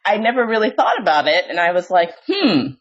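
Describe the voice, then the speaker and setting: A woman's voice: on the low side, sing-song, a little fast. A woman hosting a podcast interview, talking candidly and with self-deprecating humor, often breaking into laughs. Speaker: podcast host. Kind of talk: conversational interview. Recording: dull lo-fi audio.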